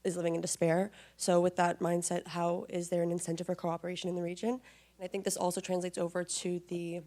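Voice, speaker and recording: A young woman asks a question from the audience. Her voice is lowish and very even in pitch. She speaks politely and in measured phrases. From Morocco. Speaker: audience member asking a question.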